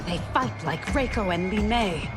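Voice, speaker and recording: An actress playing a bold warrior wife in a video game. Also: female voice, medium pitch, lively intonation, measured pace, loud music behind the voice, close to an everyday voice. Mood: admiring. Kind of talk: video game heroine acting.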